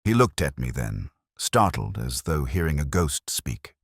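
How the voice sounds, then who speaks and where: A man narrating a mystery drama as a brooding, reflective detective inspector, in a measured, solemn and literary delivery. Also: very deep voice, big pitch swings, no room sound, studio quality, heavily acted. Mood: grave, weary. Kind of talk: scripted first-person mystery narration.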